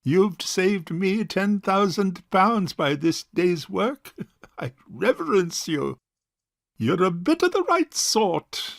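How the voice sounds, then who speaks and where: Pompous old uncle, creaky and high. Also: male voice, very animated, takes it slowly, no echo, studio quality, full character voice. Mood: smugly relieved. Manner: pompous, hesitant formal speech. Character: miserly, fussy rich old bachelor uncle. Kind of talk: comic stage farce acting.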